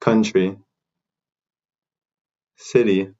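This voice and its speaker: A man teaching beginner English, repeating short phrases slowly for learners to copy. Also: on the low side, level tone, warm tone, muffled recording. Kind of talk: slow repeat-after-me drill.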